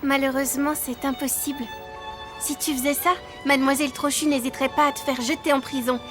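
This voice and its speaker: A woman's voice: sits high, flat delivery, a little fast, lightly acted. A woman voicing an unhappy, frightened young orphan girl in a cartoon. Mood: sad, secretive. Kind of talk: French animated series dialogue. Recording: music behind the voice.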